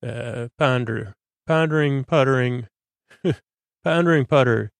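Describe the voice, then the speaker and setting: A man with a creaky voice hosting a bedtime podcast, rambling in a halting, meandering way with frequent stutters. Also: medium pitch, no echo. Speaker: podcast host.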